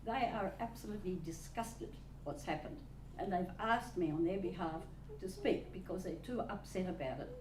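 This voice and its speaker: A woman's voice: on the low side, moderate pace, hardly any pauses. Insistent woman heard from far off. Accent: Australian. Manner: insistent, pointed questions. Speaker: resident asking a question. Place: old council chamber. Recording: distant, echoey, noisy chamber recording.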